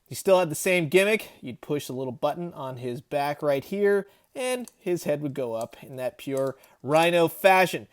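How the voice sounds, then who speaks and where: Man, fairly high voice, chatty toy review, animated, wry asides. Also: normal speed, hardly any pauses, clear, resonant tone.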